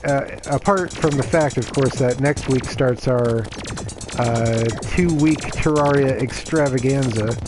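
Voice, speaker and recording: A man of middle pitch talks casually while he plays, his voice slightly raspy from overuse. He rambles and justifies himself with plenty of fillers. Game music plays clearly under him. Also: unhurried, low-quality recording. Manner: chatty and self-justifying, lots of fillers. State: slightly raspy from reading aloud the day before. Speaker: gaming streamer. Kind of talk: casual gameplay commentary.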